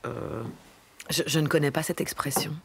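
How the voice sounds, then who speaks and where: An actress playing a naive, timid employee in a satirical radio drama, speaking haltingly and deferentially, as if asking permission. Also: female voice, low-pitched, creaky, background noise. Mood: unsure, deferential. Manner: hesitant, halting, deferential, questioning. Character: timid, naive female employee being coached.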